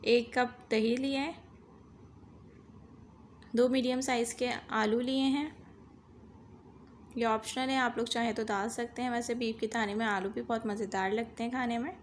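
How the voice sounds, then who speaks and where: A woman's voice: fairly high pitch, steady tone, moderate pace, long pauses, a little hiss or hum. A woman hosting a cooking channel, giving a step-by-step recipe voice-over and guiding viewers through each stage. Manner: instructive, repeats "dekhiye" to guide viewers.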